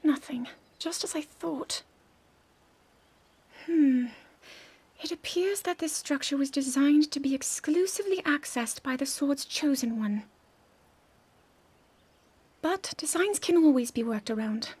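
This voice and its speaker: An actress playing a proud, stubborn young princess with a clipped, cool and determined manner, in a video game cutscene. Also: female voice, high-pitched, expressive, a little slow, pauses often, a little mellow. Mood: pensive.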